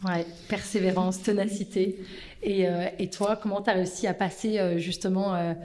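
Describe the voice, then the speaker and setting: A woman's voice: medium pitch, level tone, normal speed, a little mellow. A woman hosting a podcast, interviewing guests on a panel and asking hesitant questions full of fillers. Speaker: podcast host.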